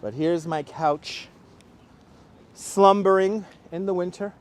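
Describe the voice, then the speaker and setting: A man with a Brooklyn New York accent, chatting casually to live-stream viewers as a local guide, speaking clearly and deliberately. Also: sits high. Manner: clear, deliberate enunciation, rambling. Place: outdoors on city streets by the seaside. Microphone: wireless clip-on mic. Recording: outdoor urban street noise.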